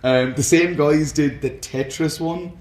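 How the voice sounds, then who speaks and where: A man's voice: a little high, measured pace, a little mellow, reverberant. A man co-hosting a casual chat about films and games, talking in a rambling, enthusiastic fan's way. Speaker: podcast co-host.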